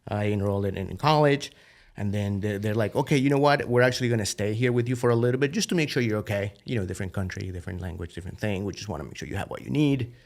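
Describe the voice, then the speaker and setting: A man from Colombia in his thirties with a Colombian accent, a podcast guest telling his story in a relaxed, animated way. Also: on the low side, quick pace. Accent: Colombian Spanish. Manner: relaxed, animated storytelling with frequent "you know" and "dude". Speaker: podcast guest, biotech founder. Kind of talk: casual conversational interview.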